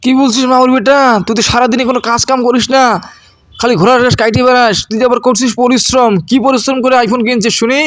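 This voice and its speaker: Man playing a high-voiced cheeky cartoon pal. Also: flat delivery, quick pace, a bit bright, dry recording. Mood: teasing. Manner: slangy, teasing, goading. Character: cheeky, mocking village friend who eggs the hero on. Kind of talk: comedy cartoon voice acting.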